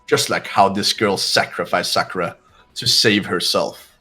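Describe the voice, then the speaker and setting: A man's voice: sits low, steady tone, normal speed, sharp tone, soft background music. A man voicing a cold, arrogant young heir who dismisses others with condescension. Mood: condescending. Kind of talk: fan voice acting of a game.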